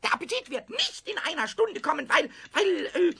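Childish, excitable kobold for children's radio drama: piercing, very high, wildly animated, stuttering repeats. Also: male voice, moderate pace, creaky, full character voice. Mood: stubborn. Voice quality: squeaky, piercing. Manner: stammering word repetitions, singsong rhyming. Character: cheeky, childish little male kobold, excitable and stubborn. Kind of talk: 1970s children's radio play acting.

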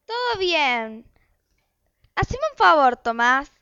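Radio play mum, woman performer: Argentine, bossy, exasperated, very high bright voice, lo-fi sound. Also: sing-song, takes it slowly, exaggerated voice. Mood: brisk. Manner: exasperated, commanding. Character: bossy, harried housewife and mother nagging her family. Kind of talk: amateur radio drama acting.

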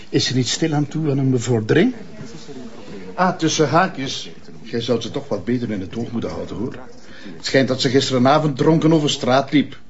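A smug, insinuating Flemish informant in a crime drama. His medium-pitched voice is full of gravel and creak. The recording is slightly dull. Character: sly, smug male informant and blackmailer. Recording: dull lo-fi broadcast audio.

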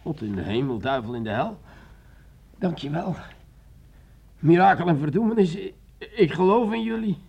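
A drunken, excitable army sergeant, high-pitched. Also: male voice, normal speed, creaky, pauses often, slightly rough, lo-fi sound, heavily acted. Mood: fervent, exalted. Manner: theatrical, exclamatory, tipsy muttering and laughter. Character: drunken, bitter army sergeant, father of a baby. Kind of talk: radio play acting.

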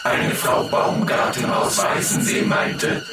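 A man performing a declamatory announcer in a radio play, speaking slowly and emphatically. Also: close to an everyday voice. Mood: declamatory, upbeat. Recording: very echoey, distant, loud music behind.

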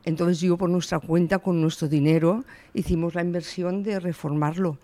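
A woman's voice: lowish voice, fairly even intonation, normal speed, dark tone. A woman in her sixties from the Valencia region, a shop owner answering interview questions in an earnest, emphatic way. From Valencia region, Spain. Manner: earnest, emphatic, quotes her own words.

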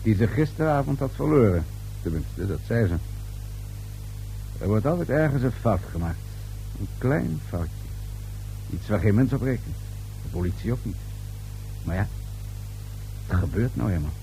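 Low, mellow police inspector. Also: male voice, animated, moderate pace, vocal fry, pauses often, faint music behind, low-quality recording. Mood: quietly insinuating. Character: calm, sly police inspector, casually probing. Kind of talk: radio drama acting.

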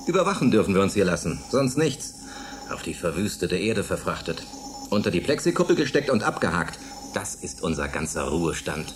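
An actor playing a rebellious, impatient middle-aged retiree in a science fiction radio play, gruff and emphatic. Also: male voice, deep voice, very animated, fairly quick, background noise. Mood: bitter. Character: rebellious, impatient retired man over forty in sci-fi. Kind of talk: German radio play acting. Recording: music playing behind.

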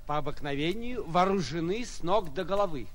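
A man acts a sly, envious provincial gentleman. His high voice swings animatedly with sardonic relish, in old 1940s radio-play style. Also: lively intonation, fairly quick, close to an everyday voice. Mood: sceptical. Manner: sardonic, theatrical. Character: mocking, envious small-town gentleman, sceptical and sly.

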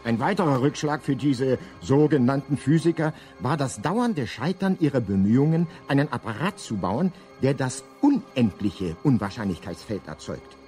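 Animated, mock-scholarly male narrator played by an actor. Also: mid-range voice, normal speed, hardly any pauses, soft background music, close to an everyday voice. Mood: wry. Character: wry, learned male narrator of a galactic guidebook. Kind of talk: scripted comic science-fiction narration.

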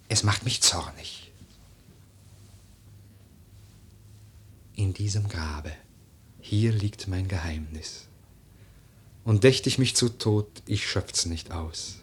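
A deep, husky young nobleman in mourning. He declaims lyrical radio-play verse slowly, with brooding intensity. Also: heavily acted. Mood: angry, brooding. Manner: declamatory, lyrical, slow and deliberate. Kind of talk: theatrical German verse radio drama.

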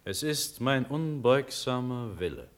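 An actor playing a resolute, noble young prince in a classical verse radio drama, speaking with solemn, earnest declamation. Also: male voice, mid-range voice, very animated, a little slow. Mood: solemn, exalted.

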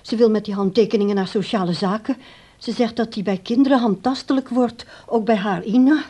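Radio play, anxious carer, raised pitch, mellow timbre, uneasy, tentative phrasing. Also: female voice, brisk, breathy edge. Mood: polite, worried. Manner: hesitant, careful, uneasy. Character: anxious, dutiful grown daughter caring for old father.

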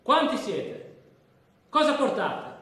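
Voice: medium pitch, sing-song, slow, very echoey. An actor playing an insistent customs guard who repeats questions, in a stage reading set to music. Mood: insistent, mocking. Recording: voice under loud music.

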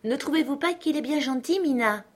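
A woman's voice: high-pitched, brisk, voice pushed up, lightly acted. A woman voicing a sweet, eager and generous little girl in a storybook reading. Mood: admiring, eager.

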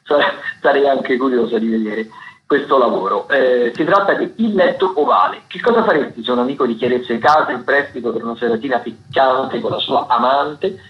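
A man hosting a radio programme, reading out listings informally in a halting, digressive way and often correcting himself. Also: mid-range voice. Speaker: radio host presenting theatre listings. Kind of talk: informal spoken radio listings of upcoming shows. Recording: roomy, slightly dull radio broadcast sound.

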